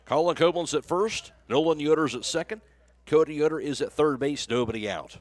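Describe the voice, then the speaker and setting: A man's voice: a little high, brisk, no echo. Male American baseball play-by-play announcer. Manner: clipped phrases, describes action as it happens. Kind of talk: live baseball play-by-play commentary.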